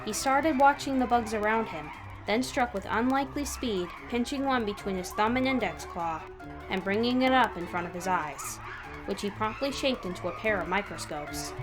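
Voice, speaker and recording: A woman's voice: mid-range voice, moderate pace, rough recording. Woman narrating a story over music. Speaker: fan fiction reader. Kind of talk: scripted third-person story narration. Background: background music.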